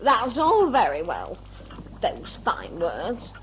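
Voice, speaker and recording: A woman's voice: mid-range voice, wildly swooping pitch, takes it slowly, a bit bright, a little hiss or hum, full character voice, low-quality recording. A woman playing a feisty, scolding old grandmother in an audio drama, brassy and colloquial. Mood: urgent, defiant. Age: older.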